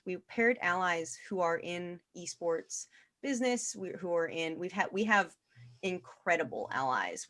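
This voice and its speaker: A woman's voice: lowish voice, fairly bright tone. Emphatic woman as webinar guest. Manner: emphatic, repeats phrases for stress. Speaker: guest physician and nonprofit founder. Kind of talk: casual webinar talk about esports advocacy.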